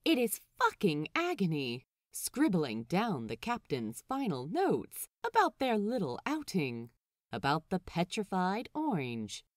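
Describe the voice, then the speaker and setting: A woman narrating a written story aloud in an animated, expressive storytelling style. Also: fairly low pitch, unhurried, no echo, studio quality. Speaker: podfic narrator.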